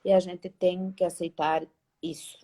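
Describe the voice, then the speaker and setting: Spanish woman telling lively stories. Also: fairly low pitch, unhurried. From Spain. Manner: animated, acts out past dialogues, frequent "né?" tags. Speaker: guest. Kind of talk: casual storytelling interview.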